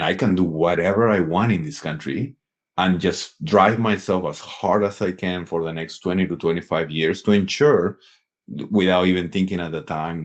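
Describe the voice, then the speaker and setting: A man's voice: sits low, lo-fi sound. A middle-aged man from Peru with a Peruvian accent, a guest giving earnest, reflective advice in a casual interview. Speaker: sales executive guest.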